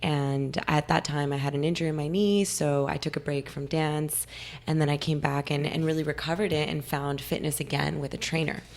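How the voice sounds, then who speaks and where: A young woman from California, slightly hoarse, talking eagerly as a podcast guest. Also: low-pitched, steady tone, fairly quick. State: a little hoarse. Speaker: guest nutritionist. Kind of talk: casual interview talk.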